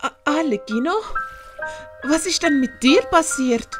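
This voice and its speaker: A woman voicing a kind, curious, cheerful little boy in an audio story, gentle and full of wonder. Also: high-pitched, a little slow, over music. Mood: curious, caring.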